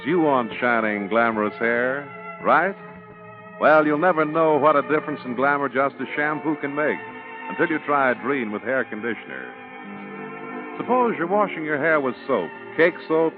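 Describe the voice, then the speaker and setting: A male announcer sells with polished emphasis in 1940s radio style. His mid-pitched voice is very animated. It comes through a thin, tinny broadcast with faint music.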